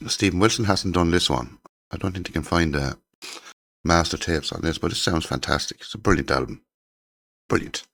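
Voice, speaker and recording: A middle-aged man, croaky from a cold, chatting casually and with enthusiasm as he shows his CD collection. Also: a bass voice, fairly bright tone. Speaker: channel host showing his CD collection. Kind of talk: casual chat to camera.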